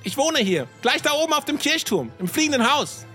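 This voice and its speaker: Bright, lively little mouse. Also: female voice, high voice, fairly quick, soft background music. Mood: friendly, curious. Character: friendly, curious little mouse living on church tower. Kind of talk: children's audio play acting.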